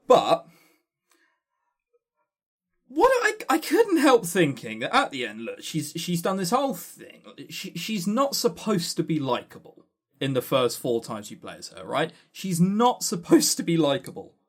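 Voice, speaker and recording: A man talks casually as a podcast co-host with strongly varied intonation. He is blunt, scornful and quick to swear, stammering as he drives his opinions home. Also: fairly high pitch, wildly swooping pitch. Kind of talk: casual opinionated game review chat.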